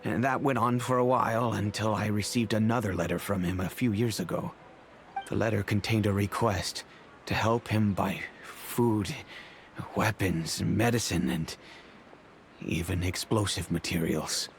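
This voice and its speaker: An actor playing a fatherly middle-aged merchant who speaks in a measured, reflective, remorseful way, over faint music. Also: lowish voice, steady tone, vocal fry, slight background noise. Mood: thoughtful. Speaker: wealthy patron and father figure. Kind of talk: video game voice acting. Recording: game audio with faint music.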